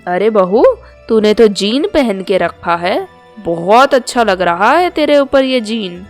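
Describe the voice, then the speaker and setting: A woman's voice: medium pitch, animated, takes it slowly. Older mother-in-law played by a woman, small-town, proud, chatty, insistent, storybook cartoon, music behind. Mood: admiring. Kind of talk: Hindi storybook cartoon dialogue.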